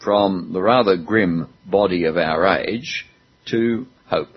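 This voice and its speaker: A man's voice: low voice, slow pace, husky, highs cut off. A man preaching a sermon in a church hall, talking in a chatty way with some self-deprecating humor.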